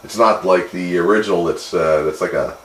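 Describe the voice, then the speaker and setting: Male radio hobbyist, very low voice, plainspoken, roomy echo with casual delivery. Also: a little fast. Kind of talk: casual chat over radio. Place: two-way radio conversation.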